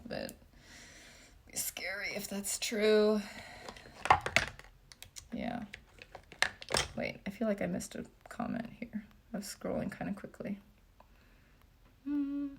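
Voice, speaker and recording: A young woman from Japan chatting casually through a livestream Q&A, reading comments aloud while doing her makeup, relaxed and full of fillers. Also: on the low side, slow, creaky, long pauses. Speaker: beauty vlogger.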